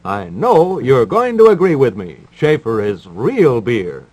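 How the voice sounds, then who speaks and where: Lively deadpan 1950s radio man. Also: medium pitch, a little slow, slightly rough, no room sound, poor audio. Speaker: radio host and announcer. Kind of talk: 1950s radio patter, mock-serious advice and live ad reads.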